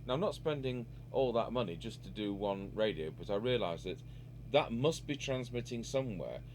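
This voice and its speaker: A man's voice: medium pitch, lively intonation, normal speed, darkish tone, slight background noise. A man giving a casual, unscripted hands-on repair demonstration, musing aloud as he works. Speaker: radio repair hobbyist and video presenter.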